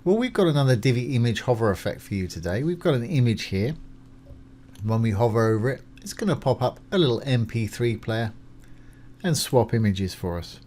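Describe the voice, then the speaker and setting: A man with a mid-pitched voice presents a screencast tutorial in an informal, conversational way. He talks through each step as he does it, keeping things reassuring and simple. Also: big pitch swings, brisk, darkish tone. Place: voice-over of a screen recording.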